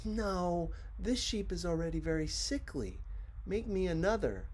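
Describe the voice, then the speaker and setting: Man putting on an odd, high-voiced little boy prince. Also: lively intonation, darkish tone. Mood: picky, firm. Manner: serious, deliberate, demanding.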